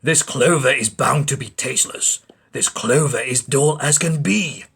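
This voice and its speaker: A man voicing a greedy, bullying rat bandit in a storybook, with scornful, bellowed, drawn-out commands. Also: medium pitch, creaky, fairly bright tone, breathy edge, full character voice. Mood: menacing, scornful. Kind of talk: storybook character voice.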